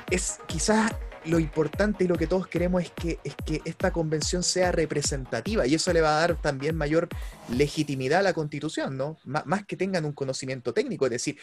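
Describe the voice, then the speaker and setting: A man's voice: on the high side, a little fast, soft background music. A man with a Chilean accent in casual political chat, earnest and often restarting his sentences.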